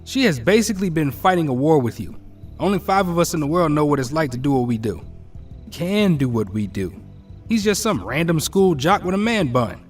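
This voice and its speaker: A man's voice: a little high, big pitch swings, fairly quick, far from the mic, soft background music. A man playing a brash, teasing young superhero in an audio drama, blunt, slangy and loyal. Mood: teasing, pushy.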